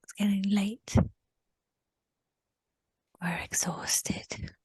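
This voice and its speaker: A woman whispering a slow first-person recollection in a guided session, often repeating short phrases. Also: mid-range voice, level tone, darkish tone, husky, no echo.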